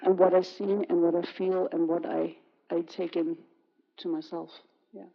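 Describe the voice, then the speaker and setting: A woman artist from Greenland speaking reflectively on a panel, halting and searching for words. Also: lowish voice, level tone, creaky. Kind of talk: panel conversation. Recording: muffled, dull sound.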